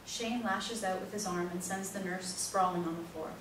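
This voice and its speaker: A woman's voice: mid-range voice, steady tone, normal speed, fairly bright tone. A woman narrator reading out the stage directions of a play as scripted narration. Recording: very echoey, distant, noisy room recording.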